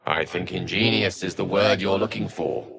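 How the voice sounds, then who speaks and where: A man's voice: sits low, normal speed, vocal fry, a crowd in the background. A man playing an eloquent, bookish video game wizard, wry, proud and courteous, with an articulate, theatrical and refined delivery. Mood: earnest. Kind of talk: video game character acting.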